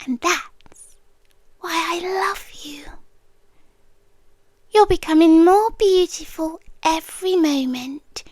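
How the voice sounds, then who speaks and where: A British woman voicing a possessive, doting monster girlfriend in an ASMR roleplay, soft and breathy, often slipping into intimate, coaxing whispers. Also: extremely high pitch, no room sound. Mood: possessive, tender. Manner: slow, cooing, intimate, coaxing. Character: possessive, doting female monster yandere girlfriend hoarding treasures.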